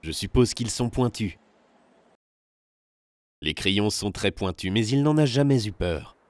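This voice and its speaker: Male film narrator, lowish register, scripted third-person read, very dry studio-like sound. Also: lively intonation, a little slow, a little mellow, no room sound.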